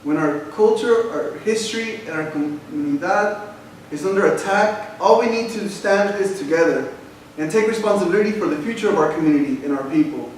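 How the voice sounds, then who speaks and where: A man's voice: sits high, animated, a little fast. A man playing an upset, passionate young Mexican American grandson in a staged reading, mixing English and Spanish. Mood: troubled, resolute. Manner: impassioned, mixes English and Spanish. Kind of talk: staged reading, emphatic theatrical delivery. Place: theater stage, recorded from the audience. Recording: echoey hall from far off, faint noise.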